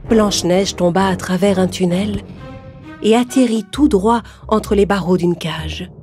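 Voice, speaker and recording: A woman's voice: medium pitch, measured pace, a little mellow. A woman narrator reading a scripted fairy tale for children. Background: background music.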